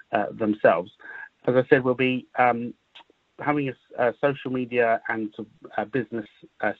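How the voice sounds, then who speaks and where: A man explains things in a semi-formal, measured way on a conference call, repeating words as he goes. The sound is dry and phone-like, with a narrow, muffled band. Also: lowish voice, no room sound. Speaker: business improvement district representative.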